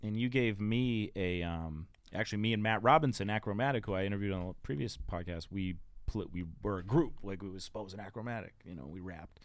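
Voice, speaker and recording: A man with a fairly low voice hosts a casual podcast conversation, reminiscing eagerly and jumping in often. He sits close to the mic in a dry, dead room. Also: no echo. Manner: excited, reminiscing, quick to jump in. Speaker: podcast host. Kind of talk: casual interview chat.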